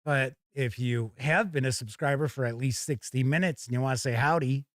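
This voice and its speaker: A man's voice: medium pitch, fairly quick, no room sound, studio quality. A man hosting a casual live stream with folksy, hearty showman patter. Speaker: host of a tabletop gaming live stream.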